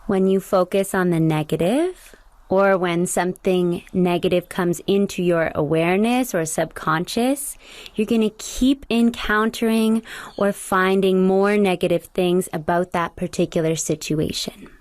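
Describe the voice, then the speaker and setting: A young adult woman leading a guided meditation, speaking slowly and soothingly as she gives gentle instructions. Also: lowish voice, no echo.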